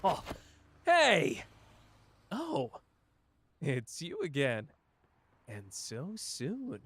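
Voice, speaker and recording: A man doing a slow, flirtatious charmer. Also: fairly high pitch, huge pitch swings, a bit bright, husky, no room sound, exaggerated voice.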